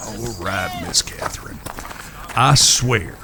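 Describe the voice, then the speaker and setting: A man's voice: on the low side, takes it slowly, gravelly, pushed and bright, a little hiss or hum, heavily acted. A man playing a courtly but hot-headed gunslinger in a Western audio drama, with polite, old-fashioned folksy phrasing. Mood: yielding. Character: male gunslinger, courtly to his sweetheart, hot-headed with enemies.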